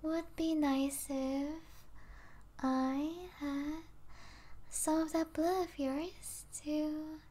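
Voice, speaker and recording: Slow, high-voiced seductive vampire princess, acted by a woman. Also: steady tone. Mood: coaxing, possessive. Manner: slow, teasing, soft delivery with intimate whispers. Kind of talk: ASMR roleplay acting.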